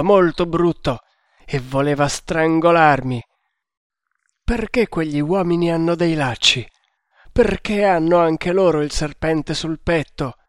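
A man's voice: a little high, no echo, studio quality. A man voicing a delirious wounded hunter, frantic and menacing, in a theatrical raving manner for an adventure audiobook. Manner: raving, theatrical, swinging into shouts. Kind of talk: dramatic adventure audiobook reading.

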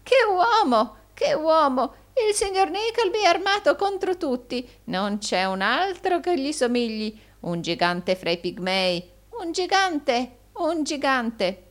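Woman acting a fawning, high-pitched old moneylender. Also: big pitch swings, slow pace, few pauses, heavily acted. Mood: mock dismay. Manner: gushing, repetitive, exclaiming with groans and chuckles. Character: fawning, wheedling, sly old male moneylender.

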